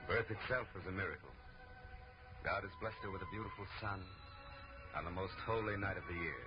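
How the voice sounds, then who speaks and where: An old radio drama priest, played by a man, deep-voiced, gentle and reverent. The recording is muffled and dated, with loud music behind him. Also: brisk, close to an everyday voice. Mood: reverent awe.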